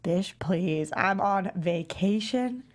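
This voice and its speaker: A woman's voice: medium pitch, animated, takes it slowly. Woman reading a crude, wisecracking best friend. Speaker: actor in a table read. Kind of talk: script table read.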